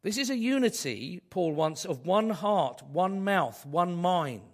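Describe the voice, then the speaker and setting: Hoarse preacher with a cold. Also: male voice, high voice, lively intonation, takes it slowly. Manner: conversational preaching with rhetorical questions. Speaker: pastor. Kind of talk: sermon.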